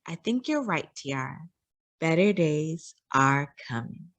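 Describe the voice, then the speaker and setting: A woman voicing a firm but tender mother in a storybook, soft and measured. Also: deep voice, very animated, heavily acted.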